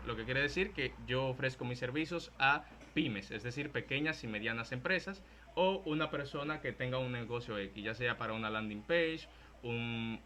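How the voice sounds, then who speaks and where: A young man in his twenties with a Dominican Spanish accent walks through a programming talk in a loose, joking way, with fillers and restarts along the way. His voice sounds bright and forceful at an ordinary pitch. Faint noise sits under the recording. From Dominican Republic. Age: 20s. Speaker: tech talk presenter. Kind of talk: presenting a talk with slides.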